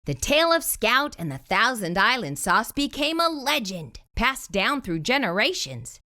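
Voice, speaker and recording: Woman, children's read-aloud storyteller, fairly high voice, very lively intonation, theatrical emphasis. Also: a little slow. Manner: animated, theatrical emphasis on exclamations. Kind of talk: expressive children's picture book read-aloud.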